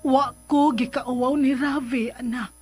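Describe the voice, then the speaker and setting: A woman playing a caring, coaxing middle-aged mother who reassures gently in a radio drama. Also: high voice, fairly even intonation, a little slow, breathy edge. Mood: confiding.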